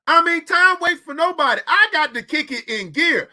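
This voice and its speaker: High, bright-voiced man in his forties. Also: lively intonation, normal speed. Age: 40s. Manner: preachy, repeats phrases, talks straight to the audience. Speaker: podcast host. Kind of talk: motivational money talk.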